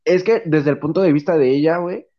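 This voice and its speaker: A young man with a Mexican accent and a fairly high voice talks casually among friends. He is slangy, playful and sweary, and the recording sounds a little muffled. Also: fast talker. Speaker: podcast co-host.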